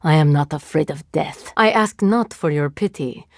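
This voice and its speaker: A woman voicing a video game cyborg woman, curious, literal-minded and coolly menacing, in formal, measured phrasing. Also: low voice, no room sound, studio quality. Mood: calm, curious. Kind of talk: video game character voice lines.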